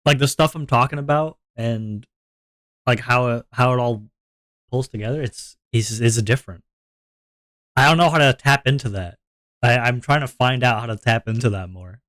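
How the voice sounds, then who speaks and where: A man with a deep voice, streaming and chatting casually to his viewers in a rambling, self-reflective way, often repeating his words. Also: normal speed, fairly bright tone, no room sound.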